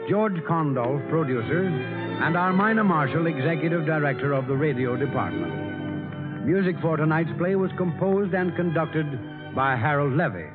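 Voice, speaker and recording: A man's voice: fairly high pitch, lively intonation. Tinny vintage radio announcer, a man. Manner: formal, measured. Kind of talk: 1940s radio announcing, scripted. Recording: tinny old radio broadcast with music.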